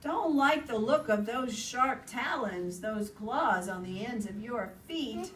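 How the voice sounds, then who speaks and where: A woman's voice: on the high side, lively intonation, slow, fairly bright tone, a little hiss or hum. A woman voicing a wary male rabbit in a storybook tale, clipped and grudging, recorded far off in an echoey room. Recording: echoey room, distant mic.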